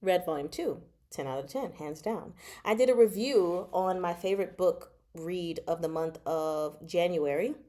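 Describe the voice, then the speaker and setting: A woman hosting a casual book discussion, chatty and expressive, often pausing mid-thought. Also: lowish voice. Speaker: book discussion vlog host. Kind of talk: casual live book chat.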